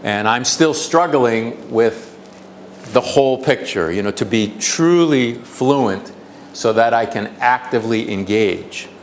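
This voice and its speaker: A man's voice: medium pitch, slow pace, slight background noise. American man presenting at a conference. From United States. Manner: explanatory, many repeated words and restarts. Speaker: conference speaker. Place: conference hall.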